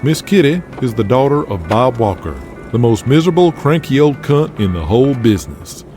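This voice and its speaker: A man's voice: medium pitch, sing-song, brisk, a little mellow, faint music behind, voice pushed up, close to an everyday voice. A man voicing a wired, brash wrestler behind the wheel in an audio drama. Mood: scornful. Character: jittery wired male wrestler driving.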